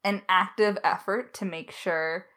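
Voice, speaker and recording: A woman's voice: mid-range voice, steady tone, unhurried, bright, forceful tone. A woman chatting casually and off the cuff, playfully insistent, with hesitant starts and side tangents. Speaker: audio drama lead writer answering questions. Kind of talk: casual unscripted chat.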